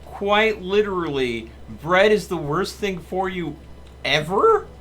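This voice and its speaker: A man's voice: sits high, sing-song, takes it slowly, fairly bright tone, slight background noise. A man giving casual live gameplay commentary as a streamer, muttering to himself and reading game text with some drama. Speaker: gaming streamer. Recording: music behind the voice. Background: game music.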